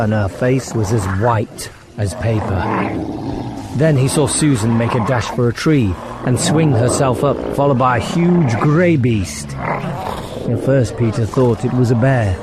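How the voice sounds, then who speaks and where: A man's voice: mid-range voice, a little slow, heavy background noise. A man reading a children's fantasy audiobook as narrator, in a dramatic storytelling style.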